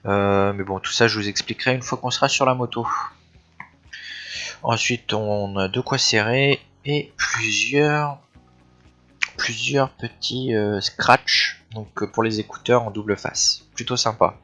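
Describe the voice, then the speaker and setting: Bright-voiced man reviewing casually. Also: lowish voice, fairly even intonation, unhurried, soft background music. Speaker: product reviewer. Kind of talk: casual unboxing and review talk.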